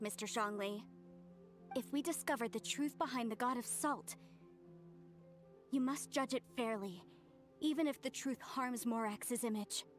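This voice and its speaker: A woman's voice: on the high side, close to an everyday voice. An actress playing an earnest, principled, proud young woman archaeologist in an anime game, speaking with formal conviction. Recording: music behind the voice.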